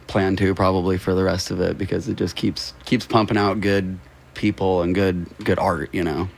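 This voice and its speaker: A man's voice: deep voice, flat delivery, normal speed, creaky. An American man talking casually as an interview guest, in a laid-back, slangy way. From Seattle, United States. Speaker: interview guest, local musician and label co-founder.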